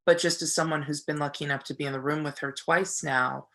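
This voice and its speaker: An actor speaking earnestly and thoughtfully in a reflective interview, building thoughts in measured phrases. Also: medium pitch, fairly even intonation, fairly bright tone. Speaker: stage actor.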